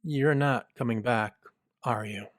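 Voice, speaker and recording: Guy as a protective mouse buddy, firm n earnest, medium pitch, dry recording, audio drama. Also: unhurried, no echo, natural voice. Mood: solemn, moved. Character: loyal, protective male mouse comrade.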